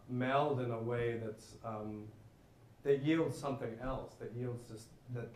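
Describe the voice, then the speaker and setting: A man's voice: mid-range voice, a little mellow. Reflective male guest musician in an interview, a little reverberant, a faint hiss under the voice. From Boulder, Colorado, United States. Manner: reflective, feels his way to words. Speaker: jazz saxophonist guest. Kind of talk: interview answers. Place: school event room. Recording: echoey room, faint background noise.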